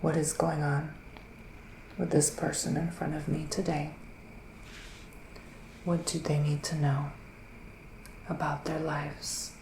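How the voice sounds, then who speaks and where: A man plays a psychic witch in a soft ASMR roleplay, slipping between gentle talk and whispers. His delivery is very slow and musing, with plenty of vocal fry. The room has a noticeable echo around his voice. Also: on the high side, completely flat delivery, a little hiss or hum. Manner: hesitant, drawn-out, mystical musing. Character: psychic male witch giving a mystical reading. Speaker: ASMR performer playing a psychic reader.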